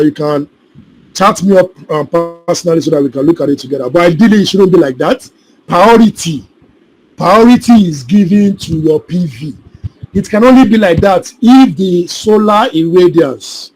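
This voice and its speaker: Male instructor on a video call, higher-pitched, repetitive and checks in often. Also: darkish tone, poor audio. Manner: repetitive, keeps checking students follow. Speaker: solar installation trainer. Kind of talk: live online class, answering questions.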